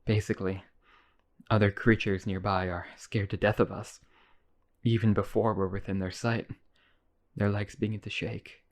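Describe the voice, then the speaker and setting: Casting: male actor as devoted yandere vampire, low register, earnest coaxing delivery, intimate roleplay audio. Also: little pitch movement, normal speed, close to an everyday voice. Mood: wounded, sorrowful. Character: lonely, obsessive vampire brother, pleading devotion. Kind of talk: boyfriend ASMR roleplay audio drama.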